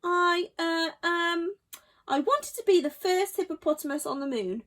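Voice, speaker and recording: A woman's voice: very high-pitched, measured pace, fairly bright tone. A woman voicing a cheerful, polite female hippo with big dreams in a children's story. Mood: apologetic, hopeful.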